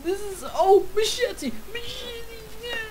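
A woman's voice: very high-pitched, takes it slowly, a crowd in the background. A woman playing a video game, chatting in a goofy, mock-dramatic way with her tools as if they were old friends. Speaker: gaming YouTuber. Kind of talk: comedic let's play commentary.

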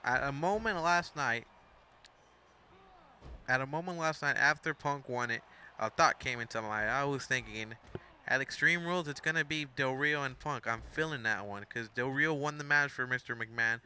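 A man's voice: mid-range voice, normal speed, sharp tone, a little hiss or hum. A young man of Mexican background giving rambling, casual play-by-play commentary over a wrestling video game, full of asides and self-corrections.

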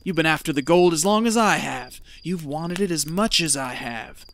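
A man's voice: a little high, expressive, normal speed, creaky, fairly bright tone, a little hiss or hum. A man playing a stubborn, gold-hungry desert prospector with a folksy way of talking in an audio drama. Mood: dismissive, insistent. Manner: folksy, muttering to himself when alone.

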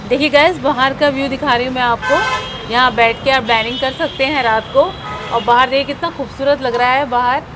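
A woman's voice: high-pitched, fairly even intonation, a little fast, background noise. A woman vlogger hosting a hotel tour, chatty and inviting, pointing things out to viewers. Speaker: travel vlogger host. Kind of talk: hotel tour vlog narration. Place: hotel interior and restaurant.

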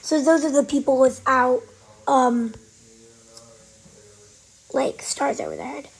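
A woman with a cold giving casual, excitable commentary while playing a game, sometimes dropping to a whisper. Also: high voice, slow pace, gravelly, slightly rough. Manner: chatty, excitable, trailing off into whispers. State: has a cold. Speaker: gaming channel host. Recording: faint background noise.

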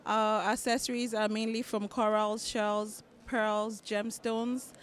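A woman with a Nigerian accent introducing her accessories line at a press junket into a handheld mic, relaxed with fillers. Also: medium pitch, takes it slowly, slight background noise. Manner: relaxed, even delivery with fillers.

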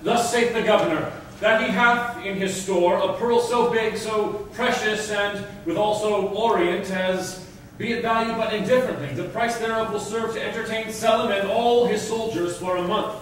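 An actor playing a courteous messenger in a staged verse drama, declaiming formally. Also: male voice, high voice, steady tone, moderate pace, close to an everyday voice. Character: courteous male messenger delivering a lord's invitation. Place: large indoor theatre stage. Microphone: far camera. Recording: distant echoey theatre stage, faint noise.

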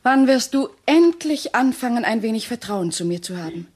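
A woman's voice: a little high, lively intonation, normal speed, a little mellow, breathy edge. An actress playing an anxious, insistent woman in a crime radio play, urgent and pleading as she probes. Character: anxious, insistent female housemate, caring and probing.

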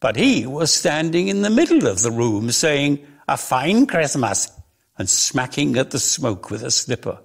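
A man giving a dramatic reading of a literary story in a measured, theatrical way, lingering on his words. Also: medium pitch, very animated, vocal fry, husky. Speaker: reader.

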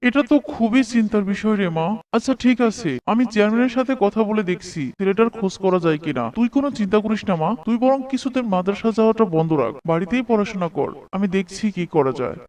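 A man voicing a gentle middle-aged father in a cartoon, concerned and reassuring. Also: sits high, lively intonation, fast, hardly any pauses, recorded from a distance. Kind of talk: cartoon voice acting.

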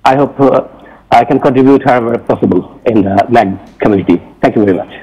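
A man's voice: medium pitch, fast talker, warm tone, slightly rough. A middle-aged man with a Bangladeshi accent introducing himself to a meeting as a delegate. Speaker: technical community delegate, industry executive. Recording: dull, muffled remote audio.

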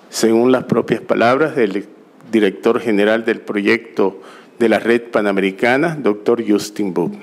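A middle-aged man speaks into a podium microphone in an auditorium, welcoming guests to a conference. He talks at an unhurried, deliberate pace and often stops to correct himself or restart a phrase. His voice sits at an ordinary middle pitch. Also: darkish tone. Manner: slow, halting, with restarts and self-corrections. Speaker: conference organizer. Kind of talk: formal welcome speech.

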